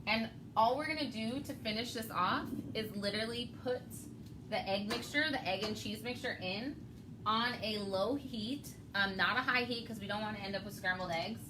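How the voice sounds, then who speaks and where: A woman's voice: medium pitch, moderate pace, background noise. A woman hosting a casual cooking show, explaining each step and laughing often.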